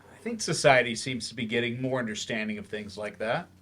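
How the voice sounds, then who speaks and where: A man playing an older remedial English teacher in a staged play reading, gentle, reassuring and gently self-deprecating as he explains things. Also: medium pitch, brisk, close to an everyday voice.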